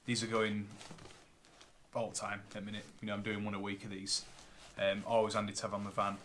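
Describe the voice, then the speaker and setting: A plumber talks through his van stock in a fairly low, matter-of-fact voice. He rattles off parts while seated at a table in a living room, filmed by a camera on a tripod. Plastic bags crinkle, and a faint hiss sits under the recording. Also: monotone, a little fast, fairly bright tone. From Northern England. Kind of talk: casual unboxing vlog.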